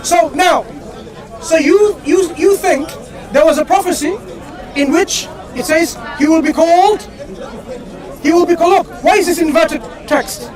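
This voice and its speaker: A man's voice: very high voice, a little slow, darkish tone. A man debating on the street, insistent, repeating himself to keep the floor. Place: outdoors in a city. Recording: crowd noise outdoors. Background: crowd of onlookers talking.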